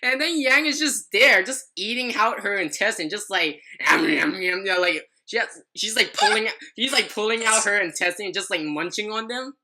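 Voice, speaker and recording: A woman's voice: medium pitch, normal speed, hardly any pauses, bright, forceful tone. A young adult woman telling a personal story in casual podcast chat, rambling and often stumbling over her words.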